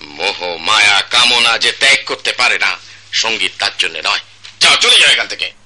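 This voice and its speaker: A severe older guru and father in a radio play, Bangladeshi accent. The low voice has a very sharp, forceful ring, and every phrase is solemn and commanding. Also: animated, normal speed, exaggerated voice. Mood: stern, angry. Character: stern, embittered older music master and father. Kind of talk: radio drama acting.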